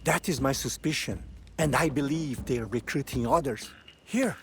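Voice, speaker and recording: An actor playing a guarded, dutiful village elder in a game drama, speaking formally and gravely. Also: male voice, medium pitch, moderate pace, a little hiss or hum, lightly acted. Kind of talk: dramatic game cutscene acting.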